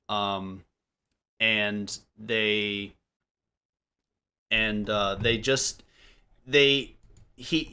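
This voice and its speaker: A man chatting casually on a group podcast, telling stories in a halting, rambling way that keeps interrupting itself. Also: fairly low pitch, sharp tone, clean tone. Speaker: podcast member, amateur voice actor.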